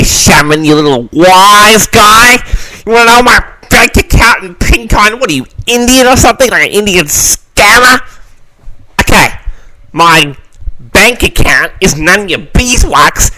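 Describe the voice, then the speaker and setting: A man's voice: high voice, bright, forceful tone, dry recording, low-quality recording. A man hosting a casual audio log, reading out audience questions and answering them in a theatrical, mock-grand manner.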